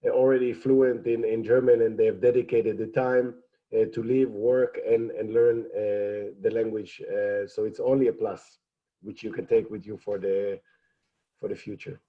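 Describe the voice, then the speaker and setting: A man whose first language is Hebrew, speaking in a measured, hesitant way with many fillers on a panel. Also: lowish voice, little pitch movement, phone-quality sound. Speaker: program alumnus panelist. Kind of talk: panel discussion.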